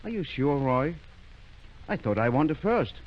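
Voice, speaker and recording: An actor playing a good-natured, mild small-town doctor in an unhurried 1930s radio drama style, on a muffled recording. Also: male voice, on the high side, very animated.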